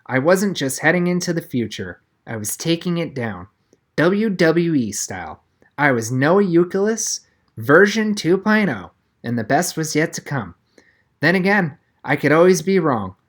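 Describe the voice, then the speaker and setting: Animated woman reading a children's story aloud. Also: deep voice, unhurried. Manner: animated, storytelling, acts out dialogue. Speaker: audiobook-style reader. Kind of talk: expressive scripted narration of a children's novel.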